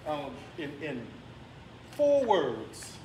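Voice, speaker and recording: Middle-aged American male host, lively swooping delivery, playful, casual; roomy sound with noise behind. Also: on the high side, drawn-out words, recorded from a distance. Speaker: interview host. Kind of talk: casual on-camera group interview. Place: indoor room, group seated before banner.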